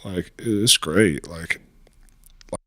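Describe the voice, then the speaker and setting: Guy in his 40s, low voice, informal podcast chat, pretty dry close sound. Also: drawn-out words, a bit bright, no room sound. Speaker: podcast guest. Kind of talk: casual conversational storytelling.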